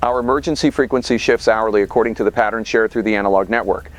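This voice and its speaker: A man's voice: on the low side, fast talker, natural voice, low-quality recording. A man playing a grave late-night radio host broadcasting during a crisis in an audio drama, serious and formal. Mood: grave, urgent. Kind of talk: scripted radio host narration.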